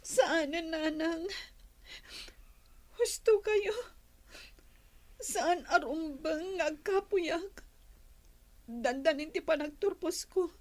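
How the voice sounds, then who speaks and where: Very high, slow, plaintive schoolgirl. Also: heavily acted. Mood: earnest, anxious. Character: sensitive, dutiful schoolgirl daughter near graduation. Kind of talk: radio drama acting in Ilocano.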